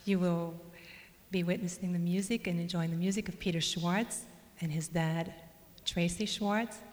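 A woman's voice: lowish voice, fairly even intonation, normal speed, darkish tone. Chatty Cajun-French-speaking woman emcee. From Louisiana. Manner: chatty, informal, with fillers and self-corrections. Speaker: mistress of ceremonies. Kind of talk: announcing at a concert. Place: concert hall stage. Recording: reverberant hall.

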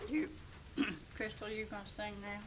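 A hoarse woman reciting a comic rhyming poem in an unhurried, expressive way. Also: medium pitch, very noisy.

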